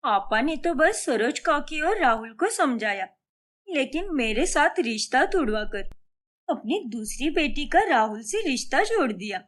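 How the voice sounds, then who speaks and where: Woman acting a high-voiced vengeful young sorceress. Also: sing-song, measured pace, fairly bright tone, exaggerated voice. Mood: hurt, resolute. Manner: accusing, dramatic.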